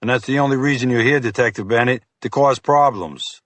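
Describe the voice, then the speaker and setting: A man's voice: mid-range voice, a little fast, a bit bright, no room sound, pitched above the performer's own voice, close to an everyday voice. A man voicing a blunt, scolding, tubby middle-aged male FBI agent with a Boston accent in an audiobook. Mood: gruff irritated. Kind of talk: audiobook character voice.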